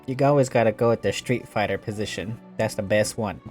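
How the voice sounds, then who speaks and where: A woman's voice: extremely low pitch, a little fast. A woman giving casual, amused commentary while playing a video game, laughing often. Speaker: gaming streamer. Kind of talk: casual let's-play commentary. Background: faint game music.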